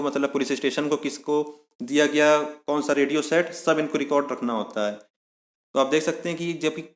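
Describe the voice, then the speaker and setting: Explaining man, echoey room. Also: medium pitch. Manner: steady explaining, often rephrasing with "matlab". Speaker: exam coaching presenter. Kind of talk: explainer on a job profile.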